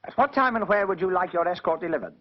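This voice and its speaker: An actor playing a cheeky, joking male partner in an escort agency, full of quick wisecracks and tongue-twisted banter, in a 1940s British film comedy. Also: high voice, lively intonation. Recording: dull 1940s film soundtrack.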